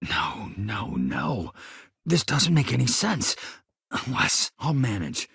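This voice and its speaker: A man's voice: on the low side, huge pitch swings, slow, creaky, fairly bright tone, breathy edge, no room sound, clean studio sound, full character voice. A man voicing an earnest, anxious space engineer in a video game, a shaken survivor who speaks politely and hesitantly. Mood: shaken, regretful.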